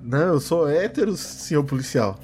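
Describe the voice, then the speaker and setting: A man's voice: a little high, lively intonation, normal speed, darkish tone, slight background noise, lightly acted. A man playing a nervous office worker questioned as a witness, hesitant, stammering and repeating his words in a tabletop game. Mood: uneasy, defensive.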